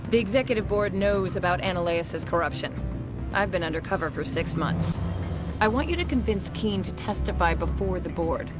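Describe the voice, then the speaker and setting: A woman's voice: lowish voice, expressive, moderate pace, natural voice. A woman playing a wry, businesslike undercover internal affairs investigator in a video game, with a blunt, informal delivery. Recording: voice under loud background music.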